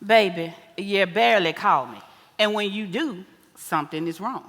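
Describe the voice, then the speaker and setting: Actress as folksy American mama, echoey hall. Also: female voice, medium pitch, fairly bright tone. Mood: chiding, playful. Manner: folksy, drawn-out emphatic phrasing. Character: older mother, folksy, wise, teasing, doting and blunt. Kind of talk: stage play acting. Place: live stage in a reverberant hall.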